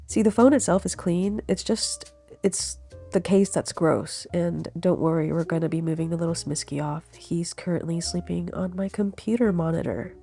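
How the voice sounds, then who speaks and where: A woman's voice: lowish voice, normal speed, creaky, mellow, soft background music. A young woman with a cold, sounding stuffy and nasal, chatting easily through a vlog voiceover. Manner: chatty, easygoing, laughs while talking. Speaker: vlogger. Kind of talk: casual voiceover narration. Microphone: studio voiceover mic.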